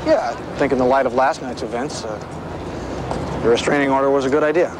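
A man's voice: medium pitch, fast, lightly acted. An actor playing a weary, principled lawyer in his forties, terse, with an American accent. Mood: subdued. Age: 40s. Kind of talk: understated television drama acting. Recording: noisy television drama soundtrack.

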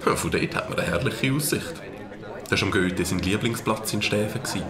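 Schauspieler als tratschender Schweizer Dörfler, eher tief. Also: male voice, lowish voice, normal speed, crowd noise, lightly acted. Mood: gossipy. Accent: Swiss. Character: gossiping village man from Stäfa. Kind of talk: radio play gossip.

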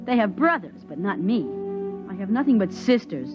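A woman's voice: mid-range voice, very animated, moderate pace, music in the background, close to an everyday voice. Woman playing a cheeky boy on muffled old radio. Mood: grumbling. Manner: boyish, eager, sulky. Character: restless young boy, cheeky, grumbling about his sisters. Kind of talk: 1950s radio drama acting. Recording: dull vintage 1950s radio recording.

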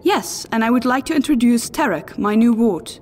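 A woman's voice: on the high side, animated, normal speed, a little mellow, slight background noise, close to an everyday voice. A woman playing a gracious, polite noble mother in a fantasy audio drama. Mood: fond.

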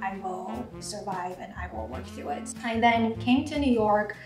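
A woman's voice: mid-range voice, a little slow, darkish tone, distant mic. Chatty young woman. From Taiwan. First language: Mandarin. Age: 20s. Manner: chatty, frequent "like" fillers. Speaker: YouTuber. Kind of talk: casual Q&A vlog. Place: home kitchen, indoors. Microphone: camera across the counter. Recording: echoey kitchen with background music.

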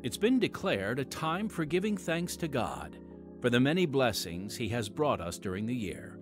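A man's voice: medium pitch, big pitch swings, normal speed. A man hosting a podcast, giving a scripted introduction and speaking directly to listeners. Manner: addresses listeners directly as friends. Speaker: podcast host and announcer. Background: music.

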